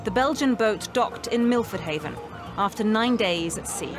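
A woman's voice: medium pitch, expressive, moderate pace. Woman narrating a news report over music. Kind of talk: scripted narration. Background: background music.

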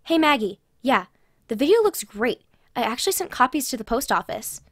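Friendly casual woman, acted, higher pitch, dry recording, audio drama. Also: very animated, a little fast, no room sound.

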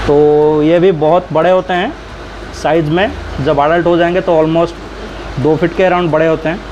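A man's voice: fairly high pitch, noisy background. A man, casually narrating a walk through an aquarium and pet shop, chatting to viewers as he points out stock and prices. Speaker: aquarium hobbyist vlogger.

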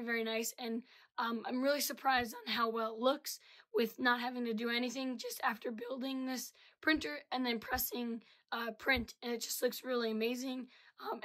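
A young woman with a slightly hoarse voice chatting casually through a product review, full of ums and uhs, recorded on a studio mic in a small room. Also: fairly high pitch, a bit bright. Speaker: 3D printing reviewer. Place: small indoor room with 3D printers. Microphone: studio mic with pop filter.

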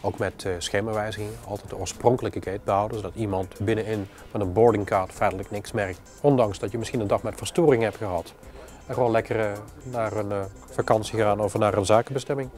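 Male, forties, low voice, matter-of-fact airport planner in documentary interview, light music underneath. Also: steady tone, quick pace, darkish tone. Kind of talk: documentary interview and work talk. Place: glass-walled airport control tower office.

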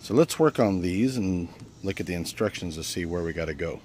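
A man with a fairly low voice gives a casual, hands-on review, thinking aloud in an informal way. He is recorded outdoors on a handheld camera, with some ambient and vehicle noise behind him. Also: expressive, brisk, darkish tone. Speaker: amateur product reviewer. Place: outdoors on sandy ground at a campsite. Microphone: handheld camera held by the speaker.

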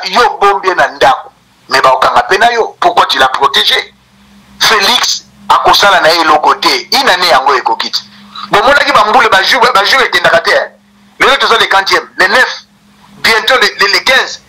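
A middle-aged man from Kinshasa speaks with a Congolese French accent, hammering home his points in an emphatic, scornful monologue. His voice sits high and rings very bright and sharp. The sound is slightly thin, as if picked up over a phone. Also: rough recording. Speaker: political commentator. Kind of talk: political monologue mixing French and Lingala.

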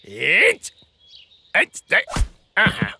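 Cartoon creature: pompous, clueless teacher, high and creaky, theatrical exclamations. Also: male voice, wildly swooping pitch, extremely slow, sharp tone, a little hiss or hum, exaggerated voice. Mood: huffy, stubborn. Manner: self-important, exclamatory, theatrical. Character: blustering, boastful, clueless bearded creature teacher. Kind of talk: animated children's cartoon voice acting.

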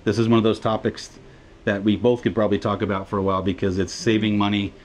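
A man's voice: on the low side, flat delivery, fast talker, darkish tone, a little hiss or hum. A man co-hosting a travel vlog, giving an easygoing, chatty walking tour aboard a cruise ship. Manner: easygoing, chatty, laughs between remarks. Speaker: travel vlogger co-host. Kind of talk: casual walking tour narration. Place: aboard a cruise ship, indoors and on open decks.

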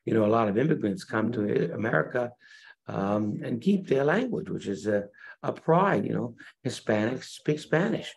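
An older man, a rabbi, giving an unhurried online Torah class, pausing often with fillers and restarts, speaking on a video call from a room by large windows. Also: mid-range voice, animated, vocal fry, slightly rough. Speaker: rabbi teaching converts.

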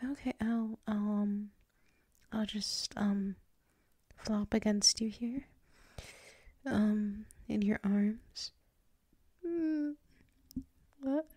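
A woman playing a sleepy, submissive yet proud tsundere werewolf in an intimate ASMR roleplay, stammering softly and often slipping into whispers. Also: mid-range voice, fairly even intonation, drawn-out words, long pauses, no echo. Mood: flustered, protesting, then sleepy, reluctantly affectionate.

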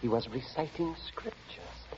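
An actor playing an insistent elderly gentleman witness, precise and emphatic, in 1940s radio style. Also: a little high, unhurried, natural voice. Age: older. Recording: dull, noisy 1940 radio recording.

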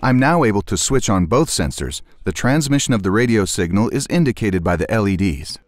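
Man, instructional narration, animated pitch movement, precise formal delivery, dry close recording. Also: a little fast, dry recording. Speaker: technician presenter.

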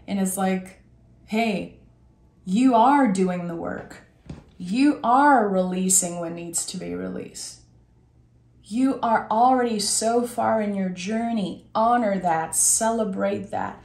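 A woman with a raspy voice giving a gentle, soothing tarot reading. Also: mid-range voice, takes it slowly, roomy sound. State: raspy voice today. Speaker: tarot reader.

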